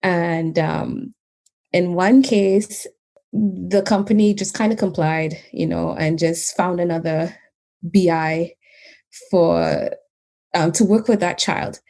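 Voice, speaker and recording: A woman from Trinidad and Tobago, speaking with a blend of Canadian and Trinidadian accent, talks calmly and in a measured way as a podcast guest, often laughing lightly. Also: fairly low pitch. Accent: Trinidadian mixed with Canadian. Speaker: guest, behaviour analyst. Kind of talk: casual interview conversation.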